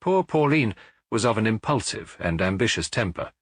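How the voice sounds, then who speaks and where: A man's voice: lowish voice, big pitch swings, normal speed, dry recording, clean studio sound. A male narrator playing a self-assured sun-cult prophet in an audiobook detective story, with lofty, declamatory delivery. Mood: solemn, smooth.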